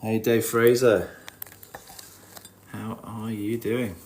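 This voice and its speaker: A man's voice: lowish voice, flat delivery, slow, darkish tone, a little hiss or hum. A man with a nasal, stuffed-up voice from a cold, chatting informally on a livestream and muttering asides to himself. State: has a head cold. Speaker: livestream host. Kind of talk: casual livestream chat, greeting viewers while looking over fish tank.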